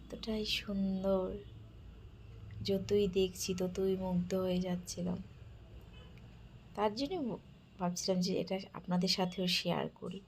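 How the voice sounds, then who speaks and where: A woman giving an admiring, soft-spoken narrated walk through an exhibit, now and then dropping to a whisper. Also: mid-range voice, steady tone, slow pace, pauses often, a little hiss or hum. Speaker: vlogger touring a village-life exhibit. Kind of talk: casual narrated walkthrough.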